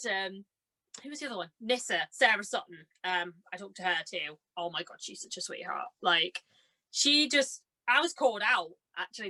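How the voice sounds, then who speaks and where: A woman's voice: mid-range voice, pushed and bright, poor audio. A young woman talking casually as an interview guest, telling stories in a chatty way and acting out her reactions. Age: young adult. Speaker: interview guest, amateur actor and cosplayer. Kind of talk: casual conversational chat.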